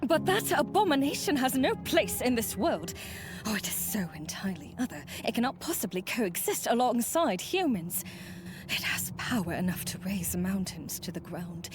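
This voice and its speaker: A woman playing an earnest, fearful mortal friend in a fantasy game, with dramatic, breathy phrasing and formal, archaic wording. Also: on the high side. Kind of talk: dramatic visual novel voice acting. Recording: music playing behind the voice.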